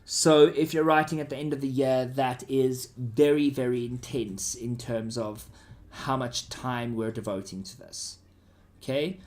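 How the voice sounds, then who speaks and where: A man's voice: medium pitch, fairly even intonation, a little slow, darkish tone. A man with a South African accent teaching students in an informal, conversational way, often checking that they follow. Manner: informal, checks listeners' understanding.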